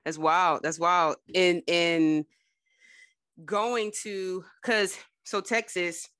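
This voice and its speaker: Slow, bright-voiced male podcast host. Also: high-pitched, steady tone, clean tone, dry recording, studio quality. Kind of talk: interview questions, casual.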